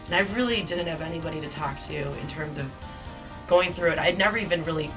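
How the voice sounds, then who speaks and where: A young woman with a low-pitched voice talks through her experience in a loose, chatty manner, dotting her story with "um" and "you know". She sounds set back from the microphone, with soft music playing underneath. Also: quick pace, recorded from a distance, poor audio. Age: 20s. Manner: chatty, with frequent fillers and small laughs. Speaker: cancer survivor giving a testimonial. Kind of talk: casual personal testimonial.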